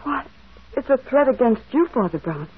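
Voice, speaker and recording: An actress playing a bitter, defiant daughter in a radio mystery. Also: female voice, sits high, expressive, normal speed, husky. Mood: flustered. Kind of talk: vintage radio mystery acting. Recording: tinny, narrow-band old radio recording.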